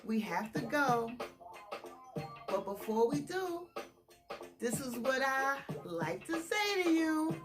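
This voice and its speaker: Very high-voiced, slow woman reading to children. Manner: expressive, talks directly to young listeners. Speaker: storytime host and picture book reader. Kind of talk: children's read-aloud storytime.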